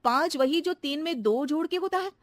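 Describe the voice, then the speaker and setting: A woman voicing a sensible, wryly teasing housewife with an expressive delivery in a comedy cartoon. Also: sits high, moderate pace, no room sound, studio quality, close to an everyday voice. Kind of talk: comic Hindi cartoon acting.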